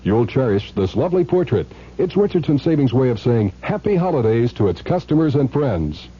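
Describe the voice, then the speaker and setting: A man reading a scripted vintage radio advertisement as a commercial announcer, with a husky voice. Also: medium pitch, very animated, fairly quick. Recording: muffled old radio broadcast recording.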